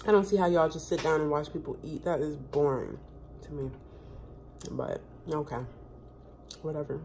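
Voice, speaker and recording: A woman's voice: low voice, steady tone, moderate pace, vocal fry, darkish tone, a little hiss or hum. A woman in her twenties chatting casually to her phone camera in a home kitchen, rambling with lots of fillers. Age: 20s. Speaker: vlogger. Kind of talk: casual chat. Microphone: phone camera propped close on the counter.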